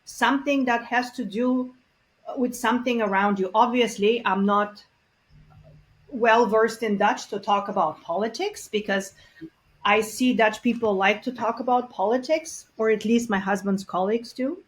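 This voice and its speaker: A woman, a guest in an interview, talking earnestly and reflectively with frequent pauses and fillers. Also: on the high side, steady tone, rough recording. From Bulgaria. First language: Bulgarian. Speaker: guest expert on multilingual parenting. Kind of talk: conversational interview.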